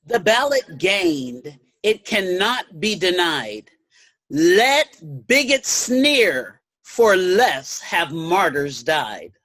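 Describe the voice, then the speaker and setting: A woman's voice: fairly low pitch, sing-song, extremely slow, a bit bright, no echo, exaggerated voice. A woman playing a suffrage campaigner, reciting rallying verse rhythmically in a reader's theater. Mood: defiant.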